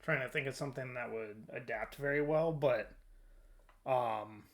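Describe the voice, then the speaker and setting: A man's voice: mid-range voice, measured pace. A man hosting a casual discussion, informal and thinking aloud as he goes. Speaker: podcast host. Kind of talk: casual discussion of films and shows.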